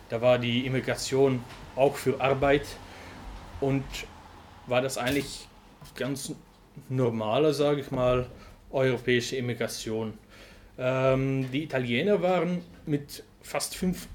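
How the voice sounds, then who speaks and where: A Flemish man, a native Dutch speaker, addresses an audience at a middle pitch in a careful, halting way, stopping often to hunt for the right word. A faint noise runs underneath his voice. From Flanders. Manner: halting, pauses to search for words. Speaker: guest speaker. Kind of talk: speech to an audience.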